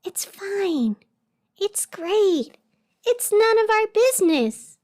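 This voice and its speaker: An actress playing an anxious radio host in a scripted fictional broadcast, deliberate and emphatic with strained cheerfulness. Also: female voice, very high-pitched, sing-song, darkish tone, dry recording, clean studio sound, exaggerated voice. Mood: nervous denial. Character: anxious woman radio host insisting everything is fine.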